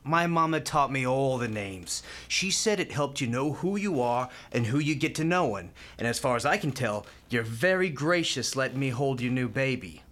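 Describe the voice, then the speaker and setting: A man's voice: mid-range voice, lively intonation, normal speed, hardly any pauses, fairly bright tone, lightly acted. An actor playing a cheerful, kindhearted male hospital volunteer in a radio play, folksy, playful and talkative. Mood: warm, chatty.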